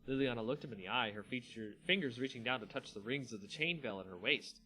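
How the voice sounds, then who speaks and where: A man's voice: medium pitch, quick pace, bright, forceful tone, rough recording. A man hosting a dramatic reading of a fantasy story, narrating with casual asides. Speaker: channel host and story reader. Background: music.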